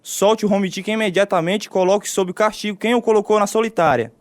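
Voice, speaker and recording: A man's voice: high-pitched, fast talker, no echo, close to an everyday voice. A man playing a stern, authoritative prison commander in a radio drama. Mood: angry, commanding. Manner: authoritative, blunt.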